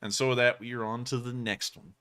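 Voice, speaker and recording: A young man co-hosting a live stream, talking in a highly fluctuating, high-pitched voice. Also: a little fast. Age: young adult. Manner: animated, high-pitched, swooping delivery.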